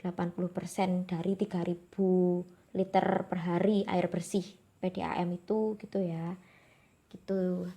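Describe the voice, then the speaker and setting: A woman's voice: on the low side, little pitch movement, measured pace, mellow, slightly rough. A young woman from Java speaks with a Javanese accent. She talks her viewers through practice questions step by step. Her tone is friendly and informal. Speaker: exam tutor. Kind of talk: explaining exam questions to viewers.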